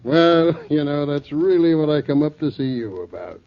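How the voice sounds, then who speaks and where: A man's voice: on the high side, big pitch swings, normal speed, vocal fry. A male actor playing an easygoing fat gambling-den owner, wry and given to chuckling, in a 1940s radio drama. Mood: resigned. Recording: muffled 1940s radio recording.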